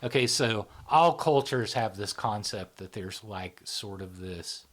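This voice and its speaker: A man's voice: on the low side, lively intonation, unhurried, fairly bright tone. A man, the founder of a psychology channel, explaining ideas in casual conversation with many fillers and tag questions, often trailing into a near whisper.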